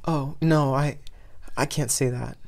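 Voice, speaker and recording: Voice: medium pitch, steady tone, unhurried, gravelly. Lovestruck teenage boy in an audio story, played by the narrator: awkward, hesitant, mumbled lines. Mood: nervous.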